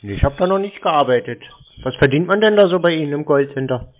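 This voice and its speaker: A man's voice: fairly high pitch, lively intonation, fairly quick. A man in a phone conversation with cold callers, speaking in a sardonic, confrontational way. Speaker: call recipient confronting scam callers. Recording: muffled, narrow phone-call sound.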